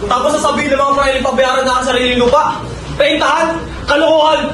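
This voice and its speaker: A man's voice: extremely high pitch, fairly even intonation, quick pace. A teenage male student with a Filipino accent playing an aggrieved, defiant farmer in a school stage play. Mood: angry, defiant. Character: aggrieved, defiant farmer defending his land. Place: stage performance, recorded from far off. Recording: distant echoey hall, loud noise.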